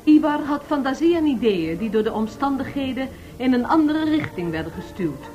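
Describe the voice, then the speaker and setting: Higher-pitched woman narrator. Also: very animated, moderate pace, dark tone, dull audio. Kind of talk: scripted dramatic documentary narration. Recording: radio drama with faint music.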